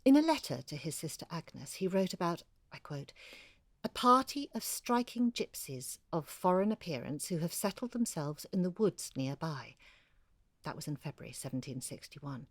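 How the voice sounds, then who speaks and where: Low-voiced, bookish woman writer. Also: dry recording, studio quality. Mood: measured. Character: bookish woman writer, a mother, eagerly recounting her research. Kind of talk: intimate conversational storytelling in an audio drama.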